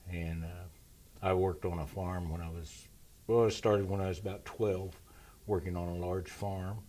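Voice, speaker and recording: An older man from Indiana, a military veteran slowly recalling his youth in an interview with his grandson. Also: sits low, steady tone, dark tone. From Indiana, USA. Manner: slow and measured, pauses to recall. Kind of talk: relaxed reminiscing interview.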